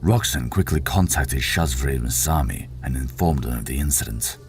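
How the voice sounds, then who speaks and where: A man's voice: a bass voice, normal speed, a little mellow. A man narrating a fiction audiobook in a dramatic, scripted storytelling style. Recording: voice over prominent background music.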